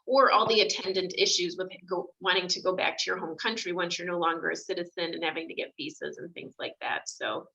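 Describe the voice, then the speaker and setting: A woman's voice: fairly low pitch, fairly even intonation, a little fast, gravelly, few pauses, sharp tone, highs cut off. A woman immigration attorney chatting on a video call, talking easily with frequent fillers. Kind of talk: video call panel discussion.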